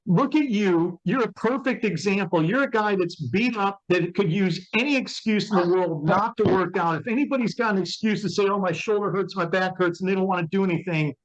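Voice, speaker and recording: Man, fifties, high-pitched voice, emphatic motivational talker, conversational interviewer, dull muffled call audio. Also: a little fast, few pauses. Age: 50s. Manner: emphatic, motivational, repeats points. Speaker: interviewer and wellness product promoter. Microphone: remote call.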